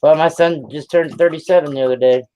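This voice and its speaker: A man's voice: a little high, fairly even intonation, fast talker, mellow, lo-fi sound. A man in his fifties hosting a live stream, chatting casually in a rambling, plain-spoken way. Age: 50s. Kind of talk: casual chat.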